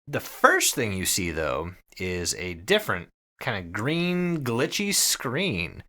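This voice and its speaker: A man hosting a podcast, telling a story casually to friends in an excited, conversational way with some swearing. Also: fairly low pitch, takes it slowly. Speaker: podcast host.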